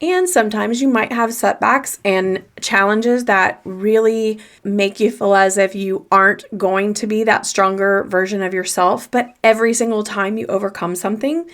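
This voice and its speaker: A woman with a mid-pitched voice and a faint Southern US accent talks straight to her listeners on a motivational podcast. She coaches in an earnest, encouraging way and drives her points home by repeating key phrases. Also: steady tone, moderate pace, few pauses, a bit bright. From Southern United States. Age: young adult or older. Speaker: podcast host and confidence coach. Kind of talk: motivational solo podcast talk.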